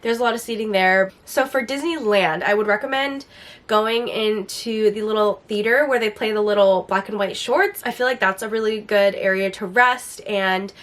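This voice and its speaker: A chatty woman hosting a casual question-and-answer vlog, her mid-pitched voice a touch raspy and hoarse as she gets over a flu. She talks eagerly and loosely, dropping plenty of "like" fillers. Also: moderate pace, fairly bright tone. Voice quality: slightly raspy. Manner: chatty, enthusiastic, frequent "like" fillers. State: recovering from a flu, a bit hoarse. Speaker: lifestyle vlogger.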